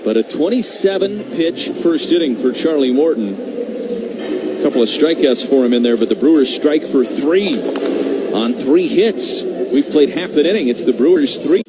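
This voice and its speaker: A man's voice: fairly high pitch, animated, a little slow, loud music behind the voice, rough recording. A man calling baseball play-by-play live on the radio. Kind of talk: live radio sports commentary.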